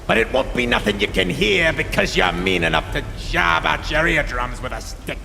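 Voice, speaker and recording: Threatening country Devil, heavy rasping creak, theatrical live horror tale, reverberant noisy hall. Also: male voice, on the high side, moderate pace, bright, forceful tone, soft background music, full character voice. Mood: menacing. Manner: theatrical backwoods drawl, threatening. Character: menacing folksy Devil come to fetch a sinner. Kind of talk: live horror storytelling performance.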